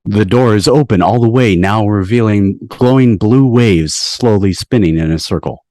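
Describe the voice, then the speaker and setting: A man's voice: lowish voice, moderate pace, mellow, no room sound. A man reading scripted stage directions as the narrator of a table read. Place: online video call. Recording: dry video-call audio.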